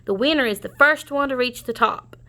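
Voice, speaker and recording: A storybook chicken calling out farm races like an announcer, played by a woman. The voice is high and bright, carrying each announcement. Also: fairly quick. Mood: announcing.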